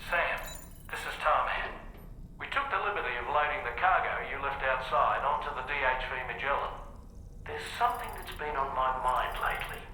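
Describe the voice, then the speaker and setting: An actor playing a brainy, earnest technical expert giving a precise, explanatory briefing in a video game. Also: male voice, moderate pace, sharp tone. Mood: earnest, intrigued. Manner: explanatory, precise, mild swearing. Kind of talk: scripted radio-message briefing. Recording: roomy echo, faint rumbling noise.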